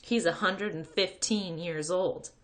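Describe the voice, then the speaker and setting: A woman's voice: on the low side, takes it slowly. A woman voicing a cocky schoolboy bully from a children's book, taunting and incredulous. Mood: boastful. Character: cocky, sneering schoolboy bully telling his own story.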